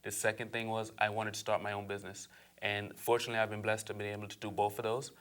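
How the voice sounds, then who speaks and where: A man's voice: fairly low pitch, monotone, fairly bright tone. A young man from Aruba, a guest on an interview show, talking casually and eagerly with fillers along the way. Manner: fast, eager, frequent fillers and laughs.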